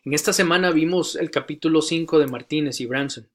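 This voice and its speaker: Spanish-speaking man lecturing on language. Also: on the high side. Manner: measured, repeats key phrases, many hesitations. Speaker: teacher of church leaders. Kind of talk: recorded lecture.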